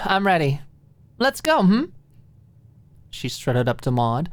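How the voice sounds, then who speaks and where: A woman's voice: extremely low pitch, darkish tone, dry recording. A woman reading scripted story narration for an ASMR-style audiobook.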